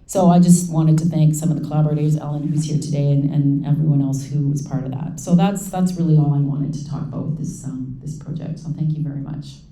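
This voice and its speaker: A woman's voice: low-pitched, steady tone, fast talker, hardly any pauses, mellow, slight background noise. A woman speaking on a conference panel in a reflective way, with trailing fillers. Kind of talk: conference talk. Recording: roomy hall sound.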